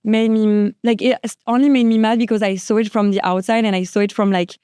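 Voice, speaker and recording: Smooth-voiced, hesitant woman guest. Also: mid-range voice, level tone, fast talker, warm tone, no room sound. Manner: hesitant, full of "like" and restarts. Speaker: podcast guest, designer. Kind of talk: casual chat.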